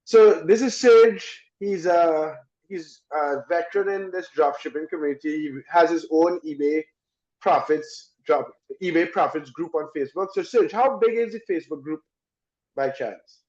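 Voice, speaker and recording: A man's voice: fairly high pitch, normal speed, a little mellow, reverberant, highs cut off. A man hosting a casual interview, eager and chatty, often restarting his sentences. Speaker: host.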